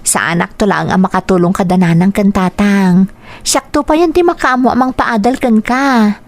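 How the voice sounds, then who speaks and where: A woman's voice: mid-range voice, moderate pace, lightly acted. A woman acting in a radio drama as an earnest, dutiful eldest daughter, speaking with an Ilocano accent. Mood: hopeful, earnest.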